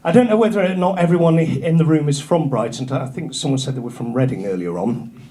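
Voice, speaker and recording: A middle-aged man from North Yorkshire with an English accent, doing a stand-up routine in a comedy club, dropping his punchlines low and dry. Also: lively intonation, quick pace. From North Yorkshire, England. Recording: live club with loud crowd laughter. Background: audience laughter.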